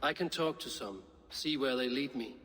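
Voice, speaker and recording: An actor playing a proud, defiant Norse warrior with a blunt, terse manner in a video game. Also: male voice, mid-range voice, normal speed, fairly bright tone, big-room sound, natural voice. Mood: terse resolve. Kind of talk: video game cutscene acting.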